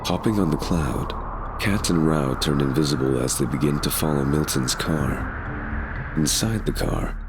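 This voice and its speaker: Very deep-voiced male narrator. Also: a little slow, noisy background. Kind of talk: scripted audio drama narration.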